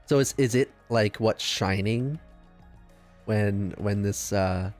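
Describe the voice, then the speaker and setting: A man with a fairly low voice chats through a tabletop game as a player. He thinks aloud in a casual way, over faint background music. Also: slow pace. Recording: music under the voice.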